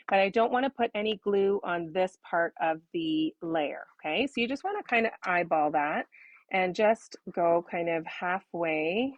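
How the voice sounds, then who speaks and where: A woman hosts a casual craft tutorial in a slightly low voice that sounds a little hoarse and tired. She explains each step in a chatty, informal way with small self-deprecating asides. The sound is fairly dry, with little room around it. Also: no echo, lo-fi sound. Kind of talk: casual live demonstration with step-by-step instruction.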